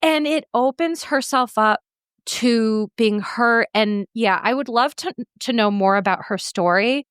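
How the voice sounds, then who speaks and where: Outspoken woman podcast host, animated chat. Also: a little high, measured pace, smooth, no room sound, studio quality. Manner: animated, outspoken, swears freely. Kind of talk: casual chat.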